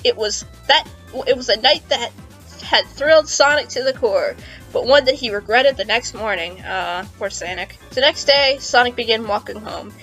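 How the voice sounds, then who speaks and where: A woman's voice: a little high, normal speed, fairly bright tone, low-quality recording. A woman narrating comedic fan fiction, playful and amused, mixing dramatic reading with casual asides. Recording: music behind the voice.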